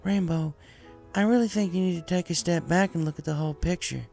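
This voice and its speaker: Man acting as young farm mare, folksy, steady, caring, creaky higher voice, storybook character over music. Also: a little fast. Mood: reassuring, firm. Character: caring, steady young female farm pony with country drawl.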